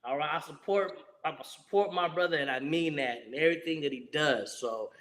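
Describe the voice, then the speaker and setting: Guest spot: forceful forties male vet, animated storyteller, on a video call. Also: on the high side, fairly quick. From San Diego, USA. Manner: animated storyteller, laughs often, speaks to the audience. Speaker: guest, Marine veteran. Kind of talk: casual interview chat over a video call.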